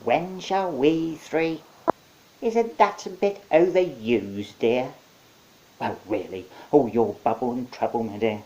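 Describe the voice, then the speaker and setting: A man's voice: medium pitch, slow pace, dark tone, highs cut off, a little hiss or hum, voice pushed up, natural voice. A man voicing tiny old witch women in a storybook reading, fussy, bickering and mock-motherly.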